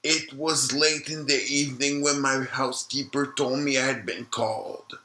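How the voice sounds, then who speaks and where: An actor playing an elderly doctor recalling a grim memory in slow, deliberate testimony for an audio-log drama. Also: male voice, mid-range voice, little pitch movement, pushed and bright, slight background noise, lightly acted, low-quality recording. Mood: weary, reflective. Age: older. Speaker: retired obstetrician being interviewed.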